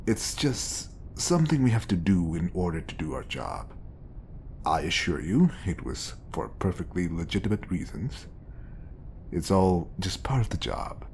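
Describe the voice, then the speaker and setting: A man playing a smooth, scheming, obsessive bodyguard who feigns polite professionalism in an audio roleplay. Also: low voice, big pitch swings, unhurried, warm tone, a little hiss or hum, heavily acted. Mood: earnest, persuasive.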